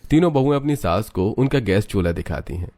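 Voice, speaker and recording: A man narrates a story from a script. His voice sits on the low side, and he delivers it as a storyteller recounting events. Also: expressive, a little fast, warm tone, no room sound.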